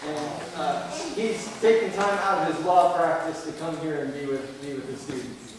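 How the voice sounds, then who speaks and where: High-pitched guy making halting announcements, far from mic in big echoey noisy hall. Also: a crowd in the background. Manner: hesitant, halting. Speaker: school event speaker. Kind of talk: informal announcements to audience.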